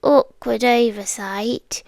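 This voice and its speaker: An obsessive, romantic young kidnapper speaks in a high, soft whisper with a British accent, as a woman acts her out for ASMR. She muses slowly and calmly. The sound is close and dry, with no room around it. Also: creaky, dry recording. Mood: brooding. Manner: soft whispering, slow musing monologue. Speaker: ASMR roleplay creator. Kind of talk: ASMR roleplay.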